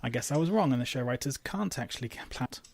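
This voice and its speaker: A man reading a scripted episode recap with sardonic, deadpan asides. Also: mid-range voice, quick pace, vocal fry.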